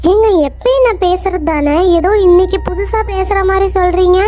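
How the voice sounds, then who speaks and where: A woman's voice: extremely high pitch, moderate pace, mellow. A woman voicing an anxious, apologetic young wife in a cartoon story who speaks in a pleading way, with music playing behind. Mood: placating. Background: background music.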